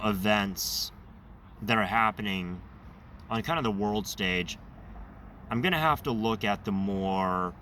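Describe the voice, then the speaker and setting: A man with a lowish, raspy voice that turns a little nasal, sounding congested and wired on caffeine. He drifts along slowly in a rambling monologue, correcting himself as he goes. He sits a bit back from the mic, with a bright edge to the sound and faint noise behind him. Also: far from the mic. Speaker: channel host. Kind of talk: casual monologue.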